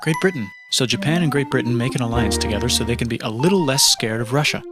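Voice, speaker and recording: Loud music runs behind a man narrating history as comedy from a script. He has a mid-pitched voice and keeps it deadpan, landing short, punchy phrases one after another. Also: quick pace.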